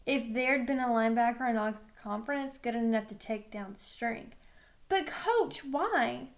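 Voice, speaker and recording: A woman reading a first-person children's novel aloud with expressive storytelling. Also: fairly high pitch, normal speed. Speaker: read-aloud reader.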